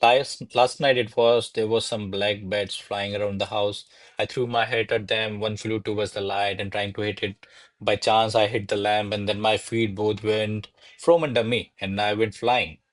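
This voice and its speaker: An actor playing courtly men of a classical comedy in a radio play, with formal, florid theatrical delivery. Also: male voice, lowish voice, flat delivery, normal speed, no room sound, lightly acted. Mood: flustered. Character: courtly servants and grandiloquent noble suitor of classical Spanish comedy. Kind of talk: stage-style radio play acting.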